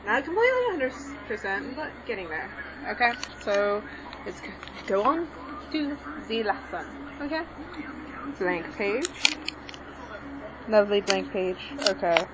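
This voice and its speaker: A woman's voice: mid-range voice, big pitch swings, measured pace, long pauses. Young woman tutor, rambling and unsure, recorded on a muffled home mic. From Mexican background. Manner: rambling, self-correcting, hesitant. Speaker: language tutorial maker. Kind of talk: casual spoken lesson while writing. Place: home room with a TV on. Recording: lo-fi home recording with background noise.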